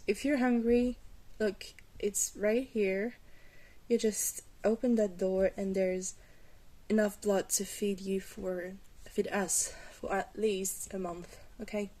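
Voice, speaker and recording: Glamorous female vampire guide, played by a woman, ASMR roleplay. Unhurried, mid-pitched, soft and hesitant, reassuring, gently wry. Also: fairly even intonation. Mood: reassuring, instructive.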